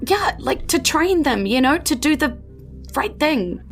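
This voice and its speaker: A woman plays a timid farm woman in a tabletop role-playing game. Her voice sits a little high, and she hesitates and stammers, anxious and apologetic. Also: sing-song, unhurried, faint music behind, full character voice. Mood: nervous.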